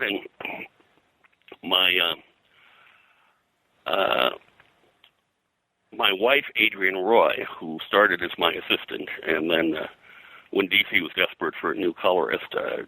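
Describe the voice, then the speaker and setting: An older man reminiscing as an interview guest in a casual, halting, digressive way, often clearing his throat. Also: low-pitched, animated, sharp tone, no room sound, low-quality recording. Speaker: interview guest, comics colorist and pop culture historian.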